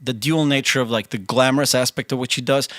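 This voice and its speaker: A man's voice: mid-range voice, steady tone, fast, fairly bright tone, dry recording. A man in his forties whose first language is Swedish, talking in a casual studio interview as a band singer, with many restarts and quoted asides. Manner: chatty, restarts often, quotes himself.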